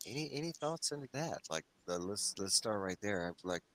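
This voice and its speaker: Deep, bright-voiced man hosting with background noise. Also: expressive, fairly quick. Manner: folksy, informal, addresses the group and viewers. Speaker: host of a comics read-along livestream. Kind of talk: casual discussion and plot recap. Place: remote group livestream.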